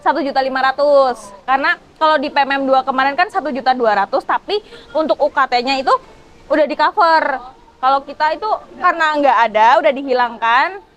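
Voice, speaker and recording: A young woman talks as a student guest in a casual interview. Her voice is high, and she hesitates as she looks for words. There is faint noise behind her. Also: fairly bright tone, rough recording. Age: young adult.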